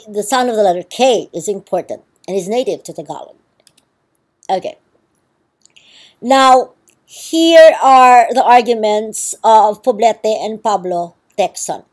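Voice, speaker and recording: A woman's voice: on the high side, darkish tone. A woman with a Filipino accent giving a university lecture, explaining with frequent ums and checking okays. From Philippines.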